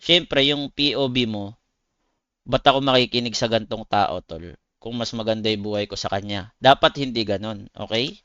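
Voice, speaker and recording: A man's voice: lowish voice, normal speed, a bit bright, smooth, no echo, lo-fi sound. A man with a Filipino accent chatting casually to viewers on a livestream, talking in blunt, slangy, swearing style. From Philippines. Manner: slangy, blunt, swearing, addresses listeners as buddies. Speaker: game streamer; competitive esports player.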